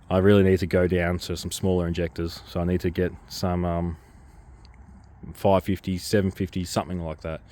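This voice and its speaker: A very low-voiced man walks through his car projects in a casual vlog. He is coming down with a cold and his voice is a little worn. He talks in a loose, rambling way, full of ums and restarts. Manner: rambling, hesitant, lots of ums. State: getting a cold, losing his voice. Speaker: car vlogger.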